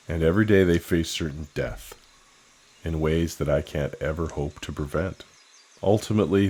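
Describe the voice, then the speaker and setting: An actor playing a brooding, protective young American soldier in an audio drama, earnest and measured. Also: male voice, low voice, a little mellow.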